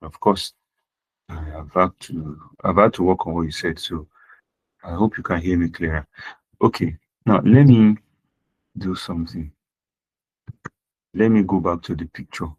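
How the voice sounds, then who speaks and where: Low husky-voiced man lecturing online. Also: unhurried, long pauses, poor audio. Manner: repeats points and asks rhetorical questions. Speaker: accounting lecturer. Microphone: online class audio.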